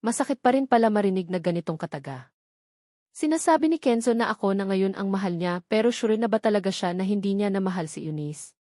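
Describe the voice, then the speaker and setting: A woman's voice: medium pitch, animated, brisk, mellow, dry recording. Woman narrating a romance novel, dry close sound. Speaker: audiobook narrator. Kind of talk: scripted first-person romance novel narration.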